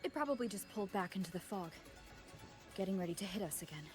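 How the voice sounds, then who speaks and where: A practical female fighter talks fast and matter-of-factly in a mid-pitched voice, played by a woman. Loud game music runs under her. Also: animated, close to an everyday voice. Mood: focused. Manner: quick, matter-of-fact talk. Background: game score music.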